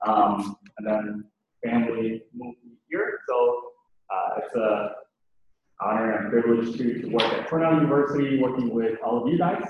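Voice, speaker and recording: A man's voice: mid-range voice, steady tone, moderate pace, noisy background. A man from China, a career advisor, giving a friendly presentation to a meeting with some hesitant fillers. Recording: echoey room, distant, slightly dull.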